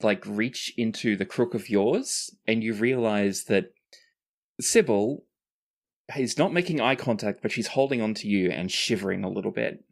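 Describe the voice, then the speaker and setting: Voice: medium pitch, normal speed, gravelly. A game master narrating a tabletop roleplaying game in a conversational way.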